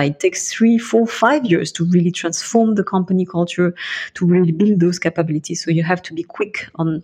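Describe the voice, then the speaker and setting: A middle-aged woman from Bulgaria, a guest in an interview, answering in a reflective, measured way and laying out her points one by one. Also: fairly low pitch, darkish tone, breathy edge. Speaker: guest, investment partner.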